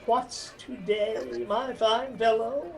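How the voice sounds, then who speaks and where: An actor playing a fervent, repentant old miser in a radio play. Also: male voice, very high-pitched, fairly even intonation, slow pace, faint music behind, heavily acted. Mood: fervent joy. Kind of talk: stage-style radio play acting. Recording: clearly noisy background ambience.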